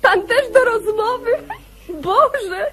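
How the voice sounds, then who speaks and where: Bardzo piskliwa, kapryśna młoda panna. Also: female voice, extremely high pitch, slow, crowd noise. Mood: mocking exasperation. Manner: pert, coquettish, mock-indignant. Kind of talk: old Polish radio comedy acting.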